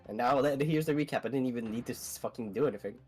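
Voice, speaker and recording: A man's voice: medium pitch, rapid-fire, a little mellow, slightly rough, faint music behind. A man hosting a casual gaming stream with friends, talking in a rambling, sweary way. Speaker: host of a group gameplay stream.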